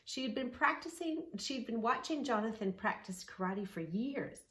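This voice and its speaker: Expressive woman reading a children's story. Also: fairly high pitch, moderate pace. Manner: expressive, addresses young listeners with questions. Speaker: storytime host and narrator. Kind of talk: children's read-along storytelling with asides.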